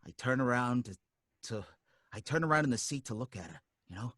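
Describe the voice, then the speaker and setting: A man's voice: medium pitch, expressive, fairly quick, a bit bright, no room sound, studio quality, natural voice. An actor playing a nervous working-class cab driver who narrates his own story, rambling in folksy street talk. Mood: uneasy. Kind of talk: radio drama acting.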